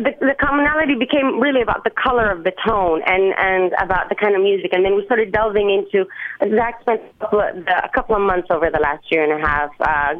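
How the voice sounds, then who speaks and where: A woman's voice: medium pitch, no echo. A woman musician being interviewed, speaking earnestly and often restarting as she searches for words. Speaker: musician guest. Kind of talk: interview over the phone. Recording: tinny phone line.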